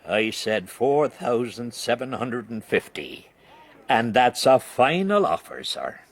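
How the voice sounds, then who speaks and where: A wily, hard-bargaining gentleman in a period radio play. He is coolly threatening, taking his time with a very lively, swinging intonation at a middling pitch. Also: slow pace, full character voice. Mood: firm. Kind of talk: period radio drama acting.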